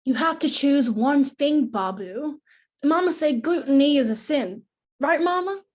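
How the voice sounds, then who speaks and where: Woman playing a high-voiced bossy kid. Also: expressive, a little slow, a little mellow. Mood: bossy, scolding. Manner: scolding, know-it-all. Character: bossy, teasing older child scolding a younger sibling. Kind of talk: rehearsed table read of a screenplay.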